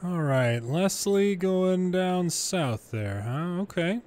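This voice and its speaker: A man's voice: sits high, lively intonation, slow pace, darkish tone. A man hosting gameplay as an air traffic controller, mixing clipped procedural calls with casual asides. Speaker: gaming channel host playing an air traffic controller. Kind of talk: controller phraseology and gameplay commentary.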